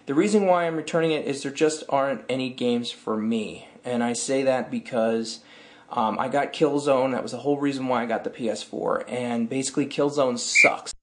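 A slightly hoarse man in his thirties vents his frustration straight into a webcam. He speaks casually and sounds exasperated, and his voice rises when he complains. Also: medium pitch, normal speed. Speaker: tech vlogger. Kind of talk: casual vlog rant. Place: dim room indoors.

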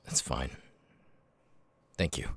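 A man voicing a weary, homeless young ex-convict with tics, halting and stammering in an ASMR audio roleplay. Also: natural voice. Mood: weary, hesitant. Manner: halting, stammering, with abrupt repeated-word tics. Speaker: voice actor. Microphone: close microphone, dry.

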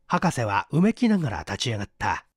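A man's voice: mid-range voice, wildly swooping pitch, fairly quick, husky, dry recording. A man reading a mystery story as dramatic scripted narration. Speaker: audiobook reader, stage actor.

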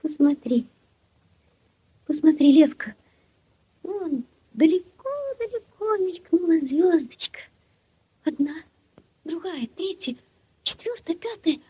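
A woman's voice: extremely high pitch, animated, full character voice. An actress playing a dreamy, coaxing young village sweetheart, tender and girlish, in a 1940s radio play. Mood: dreamy wonder. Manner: tender, girlish, drawn-out delivery. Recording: muffled 1940s radio recording, music behind.

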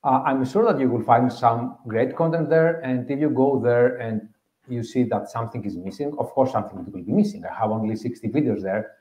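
A man's voice: medium pitch, fairly quick, mellow. A man in his thirties with a Greek accent gives a conference tech talk, explaining step by step and repeating key points. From Greece. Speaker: conference speaker. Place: meeting room with audience. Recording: roomy, slightly dull live room audio.

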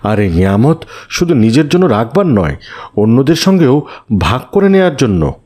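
A man voicing a gentle, pious cartoon father who admonishes in a measured, moralizing way. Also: medium pitch, sing-song. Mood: earnest teaching. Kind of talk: cartoon moral-story dialogue.

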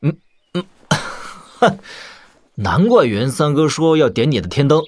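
An actor playing a shrewd young warlord prince with measured, casual authority in a wuxia audio drama. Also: male voice, fairly high pitch, sing-song, darkish tone, no room sound, clean studio sound. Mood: reflective.

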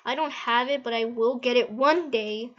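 A woman's voice: on the high side, normal speed, lo-fi sound. A woman giving casual, rambling, easily amazed gameplay commentary and giggling as she goes. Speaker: gaming video host.

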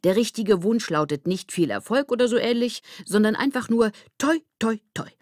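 A woman's voice: a little high, animated, normal speed, darkish tone, no echo, clean studio sound, voice pushed up, close to an everyday voice. A woman voicing an earnest know-it-all boy who instructs emphatically in a children's audiobook. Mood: instructive, emphatic. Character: boy coaching fellow young actors, earnest know-it-all.

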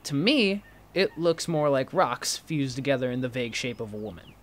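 An actor playing an inquisitive, teasing college student in a fiction podcast, with a prodding, excitable manner. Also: male voice, medium pitch, normal speed, close to an everyday voice. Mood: pensive. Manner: prodding, animated, incredulous.

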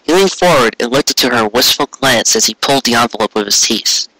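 A man's voice: mid-range voice, expressive, a little fast, pushed and bright, no room sound, poor audio. A man reading a story aloud as scripted narration, now and then stumbling and correcting himself. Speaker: amateur fan fiction reader.

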